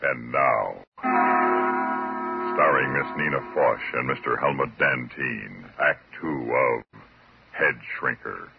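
A man's voice: extremely low pitch, slow, gravelly, long pauses. A man announcing a 1950s radio drama in scripted, old-time broadcast style. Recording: dull 1950s radio recording with loud music. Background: loud music.

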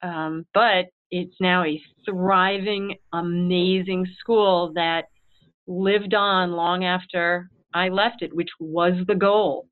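A woman who heads a school, answering interview questions in an earnest, animated way and acting out her own past thoughts. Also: on the low side, slow. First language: English. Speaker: head of school. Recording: tinny phone-like line.

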